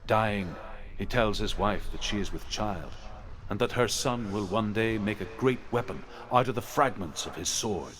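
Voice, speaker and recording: An actor playing a grave, deliberate storyteller who recounts Norse legends in a solemn scripted narration. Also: male voice, fairly low pitch, animated, fairly bright tone. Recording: game audio under clear background noise.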